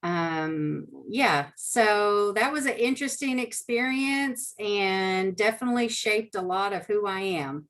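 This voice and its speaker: A woman's voice: medium pitch, animated, a little slow, hardly any pauses, a bit bright. Friendly woman trauma counselor from Alaska on a casual panel call; easy laugh. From Southeast Alaska.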